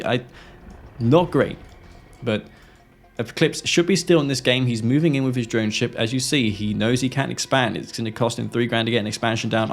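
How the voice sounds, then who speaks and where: A man with a sore throat giving a play-by-play commentary of his own strategy game match. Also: mid-range voice.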